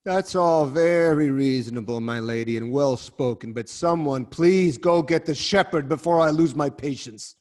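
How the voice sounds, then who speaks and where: Tormented king, hushed dread then raw outbursts, slightly high voice, man acting it. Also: normal speed, hardly any pauses, close to an everyday voice. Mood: impatient. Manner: swings from hushed dread to loud anguish. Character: anguished king confessing killing, male adult. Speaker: actor in dramatic reading. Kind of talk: dramatic reading over video call. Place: home, video call.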